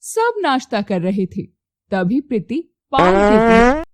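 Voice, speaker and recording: A woman narrating a scripted moral story as an expressive storyteller. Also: a little high, takes it slowly, no echo, studio quality.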